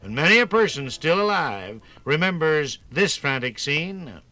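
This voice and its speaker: A man narrating a scripted 1950s radio program in a theatrical, folksy storytelling manner with drawn-out emphasis. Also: fairly high pitch, sing-song, heavy background noise.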